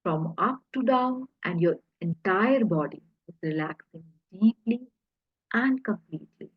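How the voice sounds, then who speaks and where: A woman leading a guided hypnosis relaxation in a soothing, deliberate way, pausing between short phrases, with a husky, breathy voice. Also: medium pitch, expressive, no echo, dull audio.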